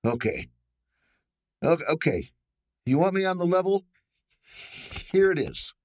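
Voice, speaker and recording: A man's voice: high voice, very animated, measured pace, no echo, studio quality. A man playing a shifty small-time forger in a radio drama, stammering and evasive. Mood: confessing, nervous. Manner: stammering, nervous, slangy.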